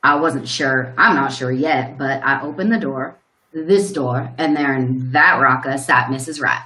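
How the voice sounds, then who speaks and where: Woman playing a low-voiced, folksy farmer in echoey room. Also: measured pace, sharp tone, exaggerated voice. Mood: folksy, puzzled. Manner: folksy, rambling storytelling. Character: plain-spoken rural male farmer recounting a shock. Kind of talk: radio play acting. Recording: roomy, echoing sound.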